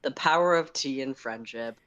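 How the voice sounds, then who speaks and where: A man's voice: medium pitch, moderate pace, fairly bright tone, clean tone, no room sound, muffled recording. A man with a mid-range voice co-hosts a story stream. His delivery is casual, whether chatting or narrating, and the sound is dry and close. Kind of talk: casual chat and fan fiction read aloud.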